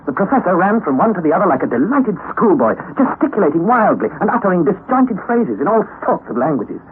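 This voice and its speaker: A man's voice: sits high, sing-song, fast talker. An actor playing an anxious young student who recounts his expedition in earnest, formal, dramatic narration for a radio play. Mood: weary, awed. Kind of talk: dramatized radio narration of a classic adventure novel. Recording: noisy, narrow-band old radio recording.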